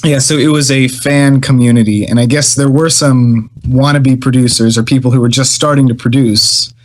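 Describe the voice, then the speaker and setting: A man's voice: mid-range voice, steady tone, moderate pace. A young man, founder of a small record label, telling his story in a casual interview, meandering and hesitant with many fillers. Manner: meandering, hesitant, laughs easily.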